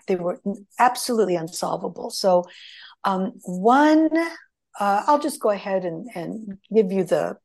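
A woman's voice: mid-range voice, darkish tone. A middle-aged woman whose first language is Greek, telling stories in a rambling conversational way, full of restarts and fillers. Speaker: guest. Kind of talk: conversational storytelling.

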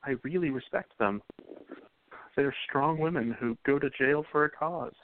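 A man playing a gentle, respectful young 1920s man who reassures his sweetheart, in an amateur radio play. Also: fairly high pitch, moderate pace, a little hiss or hum, lightly acted. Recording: tinny phone-like audio.

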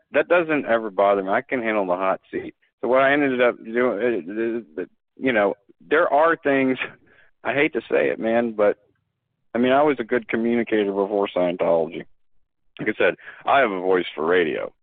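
A man's voice: mid-range voice, moderate pace, no room sound. A man talking as a podcast guest, earnest and emphatic, often restarting his sentences. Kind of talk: casual conversation. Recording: dry phone-like line.

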